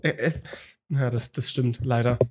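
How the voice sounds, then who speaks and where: A man's voice: medium pitch, steady tone, a little slow, noisy background. Young male co-host, hungover, rambling story-teller full of ums and restarts. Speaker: podcast co-host. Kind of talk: casual chat.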